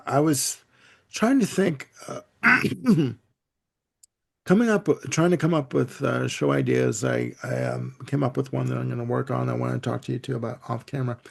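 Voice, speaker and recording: A man's voice: mid-range voice, gravelly. A man whose first language is Italian, talking enthusiastically in a casual album discussion and rambling with "you know" and "like". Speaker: music show panelist. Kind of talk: casual panel chat about albums.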